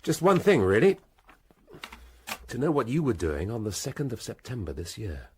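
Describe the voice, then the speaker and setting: A man's voice: medium pitch, sing-song, normal speed, slight background noise, close to an everyday voice. A man playing a shrewd police inspector in a radio drama, speaking in a dry, hesitant, politely probing way. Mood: probing, wry. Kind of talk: full-cast radio drama acting.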